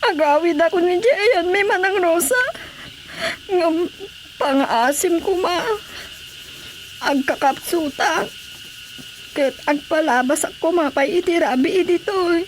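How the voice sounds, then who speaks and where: A woman's voice: very high-pitched, takes it slowly, pauses often, a little hiss or hum, low-quality recording. A woman playing a plaintive, wronged and sickly wife in a radio drama. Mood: sorrowful, pleading. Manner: plaintive, emotional. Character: tearful wronged wife, sickly, pleading and wounded.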